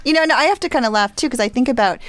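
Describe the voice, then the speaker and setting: A woman's voice: sits high, animated, racing, no room sound. A woman hosting a podcast, moving between scripted intros and casual chat. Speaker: podcast host.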